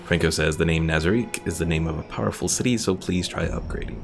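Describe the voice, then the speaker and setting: A man giving casual live game commentary as a streamer and reading out chat, in a gravelly voice. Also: low voice, fast. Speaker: gaming streamer. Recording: game music behind the voice.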